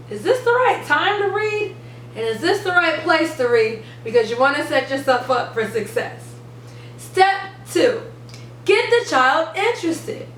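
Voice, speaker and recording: A woman hosting an instructional video for parents and teachers, speaking in an animated, emphatic, teacherly way. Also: extremely high pitch. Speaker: host of an education channel for parents and teachers. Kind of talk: upbeat instructional video to camera. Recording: roomy, distant mic, faint background noise.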